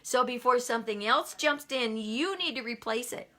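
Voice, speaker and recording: A woman's voice: a little high, normal speed, fairly bright tone. A woman giving a motivational talk, emphatic and repeating key lines for effect. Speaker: motivational speaker.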